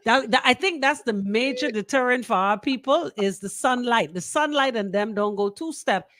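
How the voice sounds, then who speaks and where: A woman's voice: on the high side, normal speed, a bit bright, dry recording. A woman with a Bahamian accent hosting radio in a colloquial, emphatic banter style, repeating phrases for emphasis. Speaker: radio show host. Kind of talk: lively radio banter with sponsor shout-outs.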